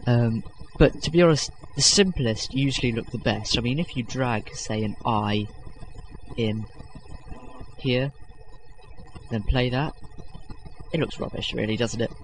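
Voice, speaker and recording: A man's voice: mid-range voice, unhurried, pauses often, slight background noise, rough recording. A young man hosting a casual video-editing tutorial, rambling with lots of fillers and self-corrections, self-deprecating. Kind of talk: unscripted screen-recording tutorial.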